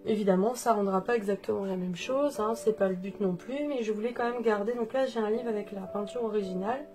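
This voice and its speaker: French woman, congested with a cold, hesitant and chatty tutorial voice, clear guitar music underneath. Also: medium pitch, fast. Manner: chatty, hesitant, frequent fillers and restarts. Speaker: coloring tutorial artist. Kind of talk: relaxed step-by-step drawing tutorial. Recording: with guitar music playing behind.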